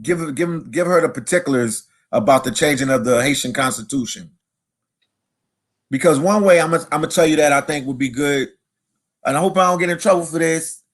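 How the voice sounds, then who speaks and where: A man's voice: a little high, fairly quick. A man hosting a casual live stream, reading viewer comments aloud and chatting.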